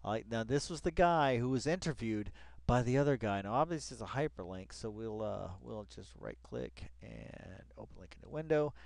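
Smooth-voiced man rambling through a read-along. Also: on the low side, lively intonation, darkish tone, no room sound. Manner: muttering asides, exasperated swearing, drawn-out repeated phrases. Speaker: vlogger reading a web article aloud with commentary. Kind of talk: rambling read-along commentary, casual and profane.

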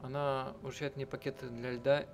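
A man doing let's-play narration, reading and translating text aloud in a halting, self-correcting way. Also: medium pitch, level tone. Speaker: game streamer and live translator. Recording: game music under the voice. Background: game music.

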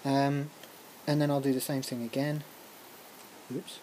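Informal tutorial lecturer, mid-pitched, halting and self-interrupting, muttering asides, noisy recording. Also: flat delivery, dark tone. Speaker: music technology lecturer. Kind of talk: informal software tutorial, talking through a demonstration. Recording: clearly noisy recording with room ambience.

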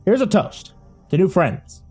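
A man voicing a suave, self-assured married gentleman in an audio story, with smooth and formal speech. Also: high-pitched, sing-song, a little slow, soft background music, pitched above the performer's own voice, exaggerated voice. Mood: urbane, friendly. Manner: smooth, formal, politician-like confidence. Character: confident, suave, well-built married man, genteel research consultant.

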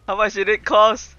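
A very bright, forceful male voice swings up and down as he chats through a game stream. He is playful and exclamatory, pitched a little high. The sound is somewhat dull and lo-fi. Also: dry recording. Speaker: streamer, virtual avatar host. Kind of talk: casual livestream game commentary, reading dialogue aloud. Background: game music.

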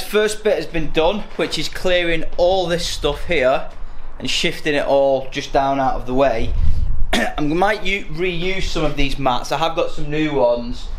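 A man in his thirties, chatting casually through his plans with lots of fillers, filmed on a handheld camera in his garage gym. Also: medium pitch. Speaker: vlogger. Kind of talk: casual vlog narration. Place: garage home gym, indoors. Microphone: handheld camera at arm's length. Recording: echoey garage with faint hum.

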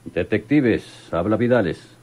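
An actor playing a flustered, hesitant, deferential police lieutenant in a radio serial. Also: male voice, lowish voice, lively intonation, takes it slowly. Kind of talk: radionovela acting. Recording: noisy radio recording.

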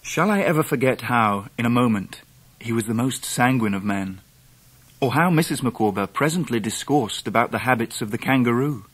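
An actor playing a courteous, well-bred young English gentleman who narrates a radio drama in a gentle, husky voice. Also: mid-range voice, lively intonation, moderate pace. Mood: wistful.

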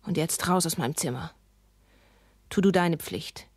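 An actress playing a fierce, commanding wife in a radio drama, imperious and taunting. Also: female voice, on the low side, measured pace, a little mellow, lightly acted. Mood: cold, commanding. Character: fierce, commanding, mocking wife in a household feud. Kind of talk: German radio drama acting.

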